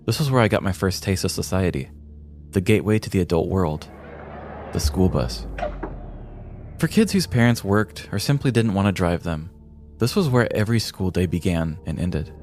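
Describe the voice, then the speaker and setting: American guy in his 30s, low voice, telling a scripted funny story, faint music under. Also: expressive, moderate pace, a little mellow. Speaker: podcast host, storyteller. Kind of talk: scripted comedic narration.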